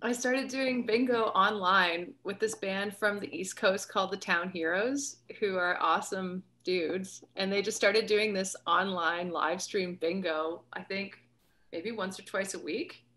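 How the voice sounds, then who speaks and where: A young Canadian woman who is a musician and a guest on a podcast, chatting in a lively, upbeat way over a video call and using lots of "like". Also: medium pitch, unhurried. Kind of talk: casual chat. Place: home room, video call. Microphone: laptop camera mic, video call.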